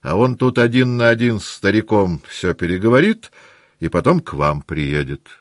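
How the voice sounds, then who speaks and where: A man's voice: lowish voice, very animated, normal speed, dry recording, studio quality, voice pushed up. A man reading an audiobook, voicing a blunt, decisive older society matron in an emphatic, commanding manner. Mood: brusque, decisive.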